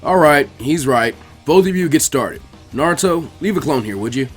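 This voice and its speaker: A man as a low, dry ninja mentor. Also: animated, moderate pace, slightly rough, faint music behind. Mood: wry, calm. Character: laid-back, wry adult male ninja mentor.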